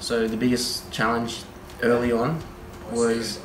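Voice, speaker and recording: A man's voice: mid-range voice, completely flat delivery, slow, crowd noise. Hesitant young man. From Australia, Tongan parents. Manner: hesitant, many ums and likes. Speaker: interviewee, husband. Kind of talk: casual interview chat. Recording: echoey room with loud music.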